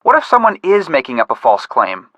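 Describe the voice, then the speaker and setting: A man playing a supportive young amateur detective, a level-headed older brother who gently teases, in a video game. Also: on the high side, huge pitch swings, brisk, no echo. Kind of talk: scripted game dialogue.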